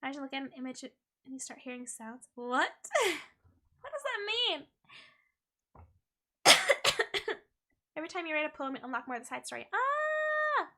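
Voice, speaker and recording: A young woman streaming a game and chatting with viewers, playful and teasing, her voice a little hoarse. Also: sits high, big pitch swings, unhurried, pauses often, sharp tone. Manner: playful, giggly, teasing chat. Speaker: VTuber streamer. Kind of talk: casual game stream chat, reading chat.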